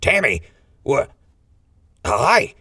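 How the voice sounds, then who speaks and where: An actor playing a glib, wry male fugitive with a gravelly voice in a post-apocalyptic audio drama. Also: mid-range voice, huge pitch swings, very slow, sharp tone, far from the mic, a little hiss or hum, heavily acted. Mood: nervous bluffing. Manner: wry, bluffing. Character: Crispy, glib scheming male wasteland fugitive.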